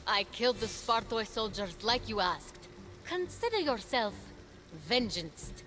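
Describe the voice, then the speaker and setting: Game role: sassy young mythic heroine, plucky and wry, high voice, very animated. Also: female voice, unhurried, faint music behind. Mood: wry, confident. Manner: sassy, expressive, questioning. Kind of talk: animated video game cutscene acting.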